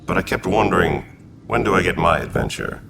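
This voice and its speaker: A deep, gravelly militia commander in a sci-fi game, blunt and steady, over game noise. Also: male voice, brisk, exaggerated voice. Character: male Turian militia commander, old military family.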